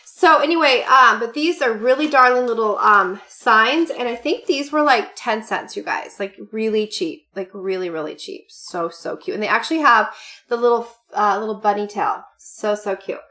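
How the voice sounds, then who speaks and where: Woman, late 30s, gushing home haul vlogger, fairly high, bright clear tone, lots of "you guys". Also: normal speed. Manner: bubbly, gushing, many fillers and "you guys". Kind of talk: casual chatty product haul. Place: home room with party decor, indoors.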